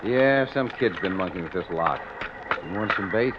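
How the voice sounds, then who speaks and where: Old folksy american bait shop guy, few words, dull radio sound w clear waterside noise. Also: low-pitched, lively intonation, normal speed. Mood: easygoing. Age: older. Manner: folksy, laconic, clipped phrases. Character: old folksy male bait shack keeper, easygoing.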